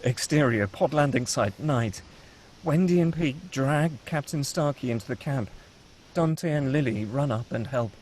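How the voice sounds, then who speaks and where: A man's voice: mid-range voice, unhurried, a little mellow, a little hiss or hum. A man reading screenplay action and scene headings as the narrator of a table read.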